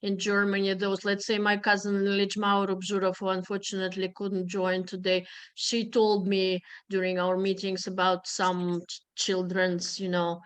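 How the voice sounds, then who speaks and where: A woman's voice: mid-range voice, completely flat delivery, normal speed, hardly any pauses, clear, resonant tone. A woman moderating an online meeting over a video call, polite and hesitant, with many fillers.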